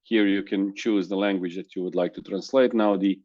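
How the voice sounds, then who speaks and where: Halting low-voiced man presenting online. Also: steady tone, a little mellow, no echo, lo-fi sound. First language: Croatian. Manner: halting, many restarts and fillers. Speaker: webinar presenter. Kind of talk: screen-share software demo. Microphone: video call.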